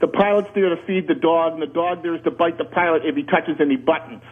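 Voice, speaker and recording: A man's voice: on the high side, steady tone, fast talker, a little hiss or hum. A man calling in to a radio show, explaining aviation in a plainspoken, jokey way with anecdotes. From Canada. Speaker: radio show caller, former air traffic controller. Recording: muffled band-limited radio phone-in call.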